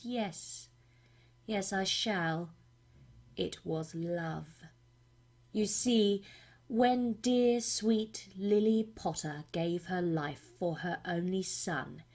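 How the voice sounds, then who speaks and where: A woman playing a gloating male dark wizard in a film monologue, slow, hushed and theatrical. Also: on the low side. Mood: gloating menace.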